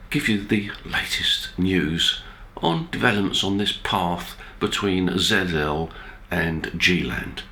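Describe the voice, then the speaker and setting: Male explainer host, bright low voice, measured and hesitant, roomy echoey recording. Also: creaky. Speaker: amateur radio video host. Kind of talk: informal technical explainer. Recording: roomy, echoey indoor sound.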